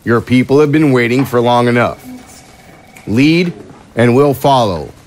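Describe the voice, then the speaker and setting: A man's voice: mid-range voice, unhurried, darkish tone, slight background noise, close to an everyday voice. A man playing a loyal aide who delivers military dispatches in an audio drama. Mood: declaiming.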